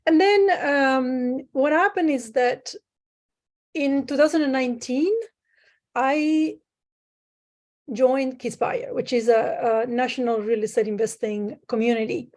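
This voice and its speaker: Sicilian-born woman on a call, chatty and hesitant, lots of ums, voice sits a bit high. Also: a little slow, darkish tone. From Sicily, Italy. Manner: hesitant with many fillers, plain speech. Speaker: guest, private lender. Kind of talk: casual interview. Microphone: remote call.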